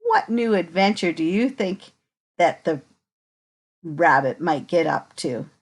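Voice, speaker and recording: Lady doing a kids read aloud, takes her time, very up and down expressive voice, asks the kids questions. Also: lowish voice, slow, slightly rough. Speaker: teacher reading a picture book aloud to children.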